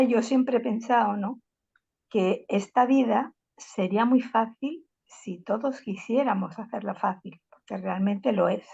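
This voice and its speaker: A woman's voice: medium pitch, gravelly, a little mellow, slightly rough, dull audio. A woman sharing thoughts in a casual group discussion, hesitant, repeating words and often adding tag questions. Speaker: study group participant.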